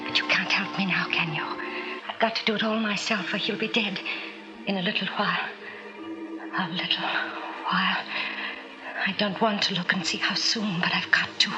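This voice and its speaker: A woman acts a frightened, desperate mother in an old radio thriller. Her mid-pitched voice moves in broken phrases and anguished pauses, with loud music behind her. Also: steady tone, natural voice, rough recording. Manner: fragmented, breathy pauses, agonised self-talk. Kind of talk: old radio drama inner monologue.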